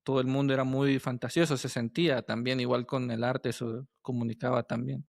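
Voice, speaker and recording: A man hosting a casual podcast interview, halting as he searches for words and often checking with tag questions. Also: mid-range voice, steady tone, creaky, dry recording, clean studio sound.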